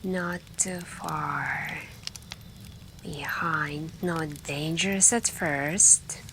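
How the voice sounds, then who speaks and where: Very slow, soft-spoken woman telling campfire stories. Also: low-pitched, fairly even intonation. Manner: hushed, drawn-out, pausing, intimate, with stretches of whispering. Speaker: roleplay storyteller playing a girlfriend on a camping trip. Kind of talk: soft-spoken ASMR storytelling roleplay. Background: campfire crackling and night ambience.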